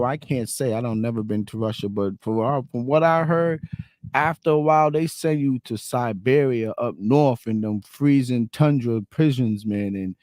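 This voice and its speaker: A man's voice: medium pitch, a little mellow, no echo. A middle-aged man, chatting on a podcast. He rambles and circles back on his words in heavy slang, in a mid-range voice recorded dry and close. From Boston. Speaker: podcast co-host. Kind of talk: casual podcast banter.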